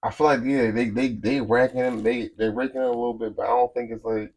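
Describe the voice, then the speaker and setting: A man's voice: mid-range voice, fairly even intonation, fast, darkish tone. An American man co-hosting a podcast, bantering casually in slangy, profane, teasing and contrary talk. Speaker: podcast co-host.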